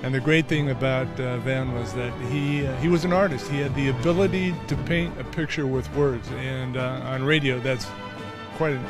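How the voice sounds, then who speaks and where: A man, a former player, fondly recalling the announcer in an interview with pauses. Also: medium pitch, fairly even intonation, over loud music. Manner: warm admiring recollection with pauses. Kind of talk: interview reminiscence.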